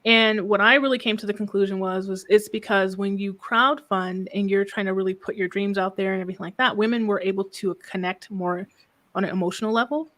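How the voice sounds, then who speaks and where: A soft-spoken woman business coach talking calmly in a casual interview, often ending thoughts with a questioning "right?". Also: mid-range voice, fairly quick. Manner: calm, reflective, frequent "right?" tags.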